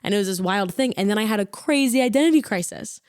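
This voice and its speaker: A woman in her twenties, an actor and comedian, telling her story in a rambling, self-correcting way, growing animated when excited. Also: mid-range voice, quick pace, dry recording, studio quality. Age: 20s. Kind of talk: casual interview chat.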